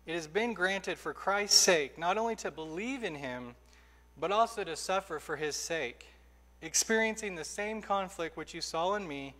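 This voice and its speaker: A man in his thirties preaching a sermon from a church pulpit, earnest and emphatic, slowing down to stress key phrases. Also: high-pitched, sharp tone. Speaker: pastor. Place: church sanctuary, indoors. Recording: reverberant church hall.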